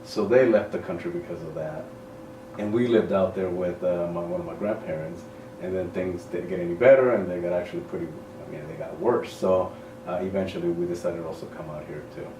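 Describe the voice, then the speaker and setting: A man in his forties, born in El Salvador, a high school principal talking in a casual interview in a measured, thoughtful way that trails off softly at the ends of thoughts. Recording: echoey room, mic at a distance, faint noise.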